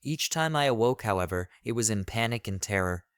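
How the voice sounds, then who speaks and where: A man narrates a first-person horror tale with deliberate, literary phrasing. His voice sits on the low side and is smooth and clear. The recording is dry and close, like a voice-over. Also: lively intonation, no echo.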